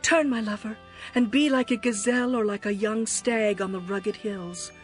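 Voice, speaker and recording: An actress playing a young, lovestruck bride, yearning and adoring, in a tender, poetic dramatized scripture reading. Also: female voice, mid-range voice, normal speed, soft background music, natural voice. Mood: longing. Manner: expressive, poetic, tender delivery.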